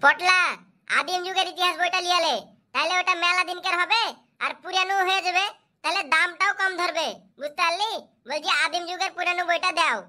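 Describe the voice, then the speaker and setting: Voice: very high voice, steady tone, brisk, bright, forceful tone, exaggerated voice. A performer voicing a cheeky, pushy schoolboy customer in a comedy cartoon, with whiny, insistent delivery. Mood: haggling. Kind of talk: comic cartoon dubbing.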